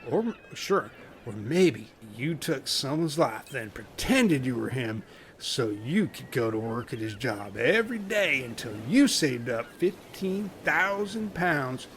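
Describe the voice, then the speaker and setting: A man's voice: mid-range voice, vocal fry, a bit bright, a little hiss or hum, exaggerated voice. A man playing a rambling, defensive castaway in a comic radio play, prone to over-explaining. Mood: accusing.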